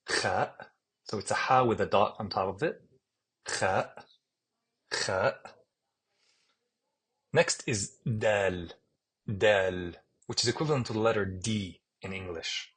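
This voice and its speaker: A man with a Palestinian accent walks through a language lesson at an unhurried pace, saying every letter twice or three times. His voice is a little husky and sits on the low side. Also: a bit bright. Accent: Palestinian Arabic. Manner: slow and patient, repeating each letter name. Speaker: Arabic teacher. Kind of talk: screen-share alphabet lesson.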